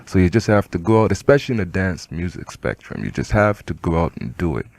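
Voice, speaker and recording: Emphatic Barbadian-Canadian rapper, low voice. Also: male voice, fast, creaky, no room sound. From Barbados, raised in Canada. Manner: animated, emphatic, with laughs and repeated restarts. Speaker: rap artist. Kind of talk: radio interview. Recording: 1990s FM radio studio.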